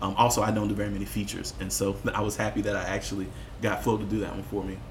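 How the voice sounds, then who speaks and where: A man speaking as a musician guest in a candid podcast conversation, telling personal stories in a reflective, expressive way with casual swearing. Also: fairly low pitch, very fast. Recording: roomy echo with faint background noise.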